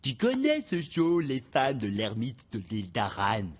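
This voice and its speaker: An actor playing a goofy, eager, childlike buddy in a French cartoon dub, earnest and excitable. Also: male voice, mid-range voice, unhurried. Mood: casual.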